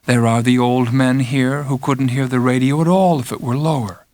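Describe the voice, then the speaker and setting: A man's voice: mid-range voice, normal speed, no echo, lightly acted. Audiobook role: formidable middle-aged nurse , played by a man, icily pleasant schoolteacher tone, controlled, dry sound. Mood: calmly reproving. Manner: calm, condescending schoolteacher tone. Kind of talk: audiobook character acting.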